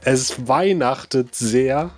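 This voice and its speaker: A man's voice: medium pitch, slow, soft background music. A man co-hosting a comic podcast, a bit stuffed up with a cold, chatting and joking loosely. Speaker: podcast co-host. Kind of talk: casual chat.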